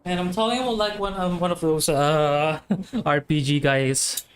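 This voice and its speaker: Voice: medium pitch, steady tone, moderate pace, clear, resonant tone. A young adult streamer chatting casually and playfully while gaming, rambling along into a streaming microphone. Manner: rambling, playful, singsong exclamations. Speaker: game streamer. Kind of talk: casual livestream chat while playing.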